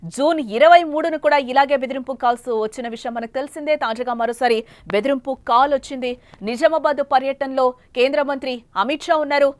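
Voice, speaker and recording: A woman's voice: high-pitched, a little fast. A woman anchoring breaking news on television, partly improvised, with an emphatic, repetitive delivery.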